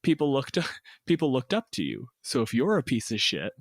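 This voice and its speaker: A man's voice: medium pitch, very animated, moderate pace, no echo, clean studio sound. A man in casual planning conversation, talking bluntly and swearing freely. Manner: blunt, profane.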